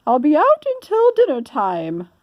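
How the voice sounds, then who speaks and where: A woman's voice: sits high, wildly swooping pitch, unhurried, no echo, dull audio, voice pushed up, exaggerated voice. A woman voicing a bossy lady of the house in an exaggerated, instructing manner for a storybook.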